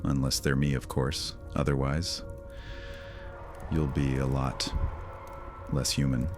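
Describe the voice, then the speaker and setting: A man's voice: rumbling low, level tone, slow pace, over music, exaggerated voice. An actor playing a menacing, mocking male vampire lord who speaks with a sneering, threatening manner. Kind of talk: audio drama roleplay.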